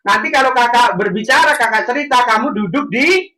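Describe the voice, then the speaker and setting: A man telling a religious story to young children in a school, animated and sing-song, coaxing his audience to answer. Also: very high-pitched, a little fast, a little hiss or hum. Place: school classroom.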